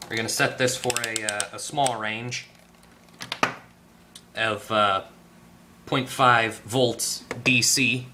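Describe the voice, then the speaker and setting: A man giving a casual hands-on electronics repair tutorial, tentative and thinking out loud as he goes. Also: on the low side, steady tone, slow pace, pauses often, background noise. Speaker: repair video host. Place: at a workbench indoors.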